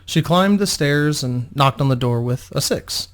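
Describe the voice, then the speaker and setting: A man's voice: a little high, expressive, measured pace. Male narrator reading fantasy fiction. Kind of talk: dramatic reading of fantasy game posts.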